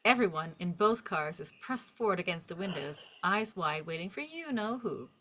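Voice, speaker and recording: Woman, bright crisp narration, mid pitch, expressive script reading, faint noise. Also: normal speed. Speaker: stage directions reader. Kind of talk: scripted narration at a table read. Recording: faint background noise and clicks.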